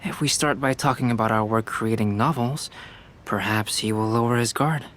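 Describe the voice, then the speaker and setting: A man voicing a calm, composed young alchemist and artist in a video game, speaking in a measured, reasoning way. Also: medium pitch, slight background noise, lightly acted. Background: game music.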